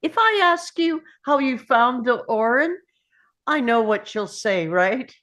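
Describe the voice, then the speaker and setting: High-voiced earnest boy hero played by a woman. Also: animated, slow, fairly bright tone. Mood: weary, teasing. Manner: earnest, urgent. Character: exhausted young boy hero, earnest and urgent. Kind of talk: table read of a film script.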